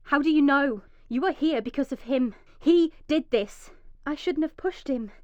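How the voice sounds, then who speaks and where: An actress playing a frightened, injured young mother in a radio drama, emotional and swinging from hushed dread to pleading. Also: high voice, measured pace, no room sound, dull audio. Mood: bitter, anguished. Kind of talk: radio drama monologue with acted dialogue.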